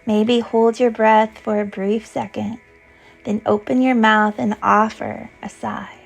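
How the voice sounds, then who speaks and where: Woman guiding meditation, slightly creaky voice. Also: on the high side, little pitch movement, faint music behind, rough recording. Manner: soothing, gentle, unhurried instruction. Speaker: guided meditation teacher. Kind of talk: guided yoga nidra meditation.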